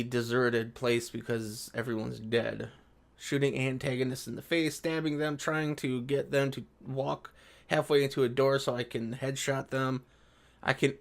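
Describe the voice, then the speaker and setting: A man's voice: mid-range voice, vocal fry. A man giving casual, sarcastic commentary as a video creator, with an exasperated and profane way of talking. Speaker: video creator reacting to an article. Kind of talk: casual commentary alternating with reading an article aloud.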